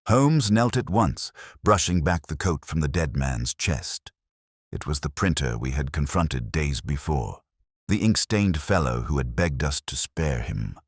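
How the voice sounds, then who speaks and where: An extremely deep male voice narrates a dramatic story in a formal, measured way. The sound is a little husky and recorded dry and close, with no room around it. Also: very animated, no room sound. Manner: measured, formal, literary delivery. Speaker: audiobook narrator.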